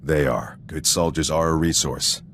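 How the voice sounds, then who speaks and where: An actor playing a stern, unsentimental military sergeant in a video game, formal and matter-of-fact. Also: male voice, extremely low pitch, fairly even intonation, moderate pace, a bit bright, a little hiss or hum.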